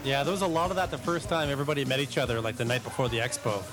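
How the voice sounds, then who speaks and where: Guy cohosting a podcast, talks fast, casual call chat, music going behind him. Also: mid-range voice. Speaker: podcast co-host. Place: remote Skype call.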